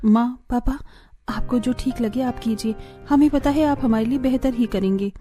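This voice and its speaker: A woman's voice: a little high, moderate pace, warm tone, soft background music, lightly acted. A woman voicing a caring, teasing young elder sister in a cartoon family story. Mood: dutiful. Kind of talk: cartoon family drama dialogue.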